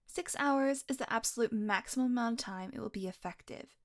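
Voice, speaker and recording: A woman's voice: a little high, fairly quick, lightly acted. A woman voicing a playful, predatory female vampire who teases and mocks, sometimes dropping to a whisper. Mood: mocking. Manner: playful, mocking, drifts into whispers. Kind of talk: audio roleplay.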